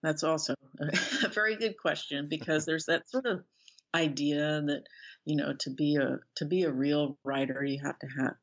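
A middle-aged American woman being interviewed as an author, speaking thoughtfully and haltingly as she searches for words. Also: low-pitched, steady tone, lo-fi sound. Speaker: interview guest, author and professor. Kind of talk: reflective interview conversation.

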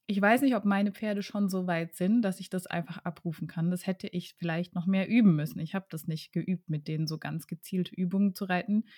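A woman co-hosting a casual podcast chat, relaxed and giggly as she tells stories. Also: on the low side. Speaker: podcast co-host.

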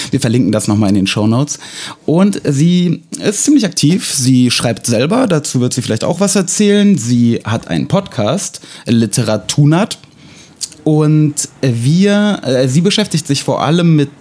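A man co-hosts a casual podcast and speaks with a light Russian accent, his native language being Russian. His voice sits at an ordinary middle pitch. He talks haltingly, with many fillers and a dry, self-ironic touch. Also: darkish tone. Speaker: podcast co-host.

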